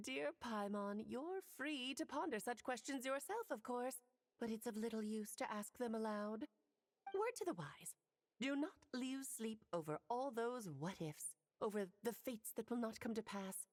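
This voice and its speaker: A woman's voice: on the high side, animated, a little slow, slight background noise. An actress playing an elegant, enigmatic woman mage in a video game, poised and knowingly teasing. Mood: cryptic.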